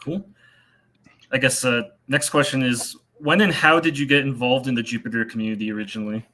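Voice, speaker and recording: A man hosting a community call, asking brief, relaxed questions. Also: medium pitch, fairly quick. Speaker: host. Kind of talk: conversational interview questions.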